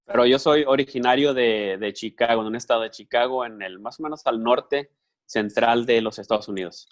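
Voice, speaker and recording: A man interprets a speaker's talk live, phrase by phrase. He stays loose and conversational, repeating words and restarting as he goes. His voice sits at a middle pitch. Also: brisk.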